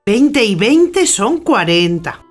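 A woman's voice: medium pitch, big pitch swings, slow, faint music behind. An actress playing a persistent, scheming housemaid in a theatrical audio drama, insistent and coaxing with her master. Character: bossy, persistent, scheming housemaid of an old gentleman.

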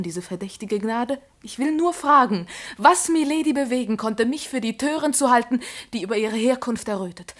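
A woman playing a young commoner girl in a classical radio play. Her voice is fairly high, and she declaims solemnly and politely, with wounded pride and deep earnestness. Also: natural voice. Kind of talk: classical German radio drama acting.

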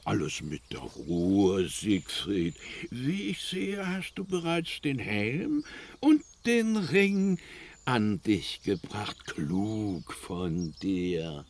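An actor playing a scheming old dwarf smith in a fantasy radio play, fawning, flattering and full of feigned innocence. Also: male voice, lowish voice, very slow, heavily acted. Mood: oily coaxing.

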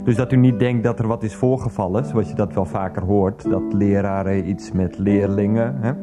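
An actor playing an aggrieved, sarcastic former schoolteacher who grumbles defensively in a comic radio play. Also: male voice, fairly low pitch, fairly even intonation, normal speed, natural voice. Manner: defensive, repetitive, indignant muttering with filler phrases. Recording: music behind the voice.

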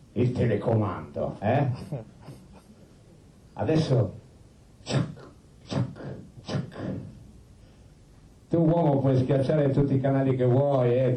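A man delivers a live monologue in a low, deeply dark and soft-edged voice. He reminisces conversationally, repeating himself and throwing in blunt colloquial asides. The pickup is distant and echoey, with faint noise. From Italy. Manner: colloquial, repetitive, halting, with crude asides. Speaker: stage storyteller. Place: live performance space with musicians.

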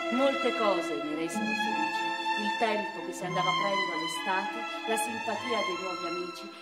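A passionate noblewoman poet of the eighteenth century , played by a woman, recounts her life as radio drama. Her mid-range voice moves with deliberate, theatrical phrasing and expressive pauses. It rings in an echoey space with music clearly behind it. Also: medium pitch, lightly acted. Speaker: stage actress performing a radio theatre monologue. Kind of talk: dramatic first-person literary monologue.

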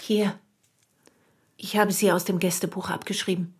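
A woman's voice: lowish voice, flat delivery, normal speed, breathy edge. A woman playing a gossiping but dutiful hotel maid in a radio drama, confiding and matter-of-fact. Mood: confiding, earnest.

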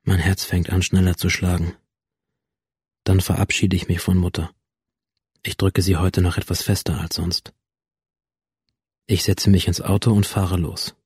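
A sullen young school shooter in a modern radio play. His voice is low and mellow, recorded very dry. He is cynical and defiant, sliding between introspective narration and coarse, sardonic retorts. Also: little pitch movement, a little slow, long pauses, husky, no echo, exaggerated voice. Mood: wistful. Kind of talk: modern radio drama acting.

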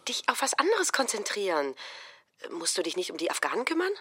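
A woman playing an exasperated, excitable mother and blunt friend in a naturalistic radio drama. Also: mid-range voice, a little fast, slightly rough, heavily acted. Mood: apologetic, blunt.

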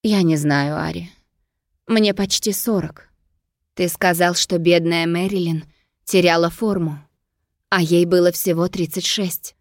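A woman's voice: lowish voice, steady tone, unhurried, no echo, studio quality. Proud, wary opera diva, read by a woman narrator. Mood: doubtful, sardonic. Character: proud Greek opera diva near forty, wary and sardonic.

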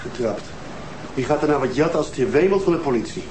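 An actor playing a police detective with brisk, wry remarks. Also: male voice, medium pitch, lively intonation, close to an everyday voice. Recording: TV sound with room echo and background noise.

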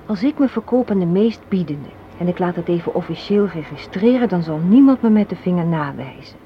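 A woman's voice: on the low side, expressive, fairly quick, natural voice. An actress playing a hard-minded, cynical young woman in a Dutch radio play, arguing and lecturing with rhetorical questions. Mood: bitter, insistent. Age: young adult. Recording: dull, noisy old radio recording.

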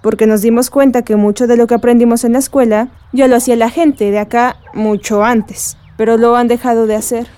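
A woman's voice: on the high side, level tone, fairly quick, few pauses, dark tone, close to an everyday voice. A woman playing a thoughtful, reflective village woman in a radio drama. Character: thoughtful village woman valuing traditional community knowledge.

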